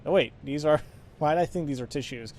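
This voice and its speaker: A man giving casual, halting let's play commentary, informally thinking aloud as he goes. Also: a little high, darkish tone. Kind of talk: casual video game commentary.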